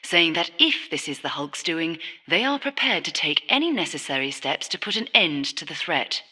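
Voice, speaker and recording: A woman's voice: deep voice, sing-song, moderate pace, pushed and bright, breathy edge, exaggerated voice. A woman voicing a serious, urgent TV news reporter in a video game, with a polished, emphatic broadcast delivery.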